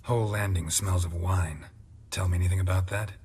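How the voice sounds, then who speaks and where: A grizzled fantasy game monster hunter, with a low, extremely husky, gravelly voice. He speaks in terse, clipped phrases with a dry, deadpan calm that never rises. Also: brisk. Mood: terse, probing. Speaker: player character in a fantasy role-playing game. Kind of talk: video game voice acting.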